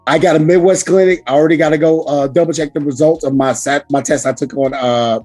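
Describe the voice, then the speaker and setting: A man hosting a casual podcast chat with a hoarse, raspy voice from screaming, talking loudly and emphatically with lots of swearing. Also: a little high, fairly even intonation, fast talker, faint music behind. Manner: loud, profane, emphatic. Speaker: podcast host.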